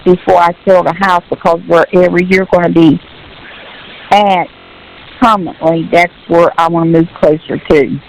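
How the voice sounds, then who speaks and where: Halting older woman on hissy phone. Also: fairly low pitch, fairly even intonation. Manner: halting, repetitive, earnestly advising. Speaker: relative on a phone call. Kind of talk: casual personal phone chat. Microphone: telephone. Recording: hissy, noisy phone call.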